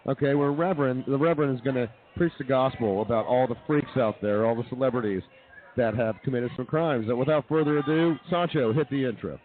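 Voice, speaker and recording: A man's voice: medium pitch, fairly quick, phone-quality sound, background noise. A man hosting a comedy radio show, introducing segments and chiming in with casual banter.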